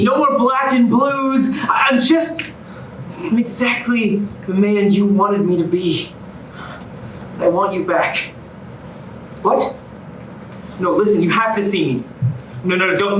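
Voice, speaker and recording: A man's voice: sits high, unhurried, long pauses, fairly bright tone, a little hiss or hum. An actor playing an eager, earnest man desperate to win back his ex, in a theatrical musical comedy monologue. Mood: pleading. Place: staged reading in a reverberant room. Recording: echoey room, distant microphone.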